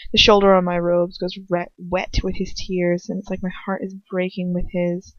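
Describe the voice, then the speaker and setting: A woman's voice: fairly low pitch, monotone, moderate pace, no echo. A woman narrating first-person fiction as an amateur audiobook reader, in an earnest, emotive, dramatic style. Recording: dull lo-fi home recording.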